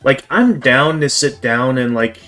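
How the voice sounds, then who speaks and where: A man hosting a game livestream, talking in a measured way with many "like"s. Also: medium pitch, animated, fairly bright tone. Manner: measured, lots of "like" and "again". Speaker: streamer host. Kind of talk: casual livestream chat.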